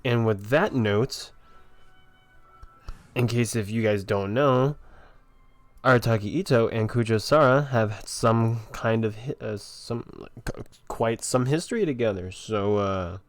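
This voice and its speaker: Slow, lower-voiced man commenting on a game. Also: dry recording, faint music behind. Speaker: game streamer. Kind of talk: casual gameplay commentary.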